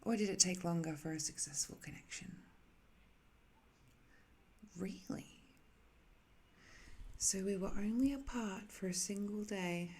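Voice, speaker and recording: A woman playing an ancient imprisoned villainess in an intimate ASMR roleplay, haughty yet infatuated, speaking softly with condescending teasing and tender, hesitant pauses. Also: mid-range voice, gravelly, a little hiss or hum. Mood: wistful, fond.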